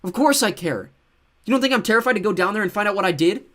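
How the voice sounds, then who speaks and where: A man's voice: sits high, animated, fast, a bit bright, pitched above the performer's own voice. A man doing a stammering, tearful young boy. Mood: upset. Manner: stammering, sniffling. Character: tearful, guilty boy, about ten.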